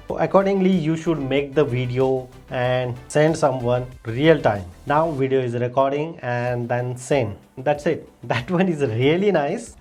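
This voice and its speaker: A woman's voice: low voice, a little mellow. A woman with an Indian accent hosting a tech tutorial, explaining steps in simple phrases with stressed openers. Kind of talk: step-by-step screen demonstration narration.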